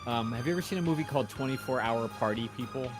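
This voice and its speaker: A man's voice: medium pitch, fast talker, over music. A man in casual conversation, rambling and wandering off on digressions. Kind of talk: casual chat.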